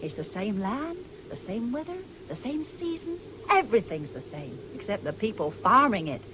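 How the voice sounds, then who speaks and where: A woman's voice: mid-range voice, big pitch swings, moderate pace, natural voice. An actress playing a proud, firm, plain-spoken English farm wife and mother in a radio drama. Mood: bitter, impassioned. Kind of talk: 1970s British radio drama acting. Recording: noisy old radio broadcast recording.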